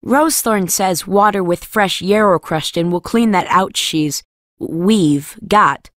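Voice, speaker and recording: A woman's voice: medium pitch, takes it slowly, no room sound, studio quality, close to an everyday voice. A woman voicing a streetwise young boy in an audiobook, wry, casual, practical and caring. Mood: gentle, wry. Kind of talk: acted audiobook dialogue.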